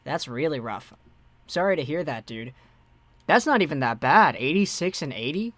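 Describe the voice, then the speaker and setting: A young man with a fairly high voice hosts a laid-back hockey live stream. He chats easily, reading out viewer messages and answering them. The sound is dry and close, with no room echo. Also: lively intonation, normal speed, a bit bright. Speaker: hockey livestream host. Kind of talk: casual live-stream chat.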